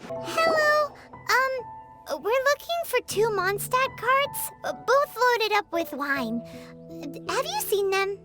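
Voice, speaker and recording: A woman's voice: very high voice, very animated, slow, heavily acted. A woman voicing a tiny, childlike, eager floating companion in a video game. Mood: eager, polite. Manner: speaks of herself in the third person. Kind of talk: animated game character acting. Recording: game dub over clear background music.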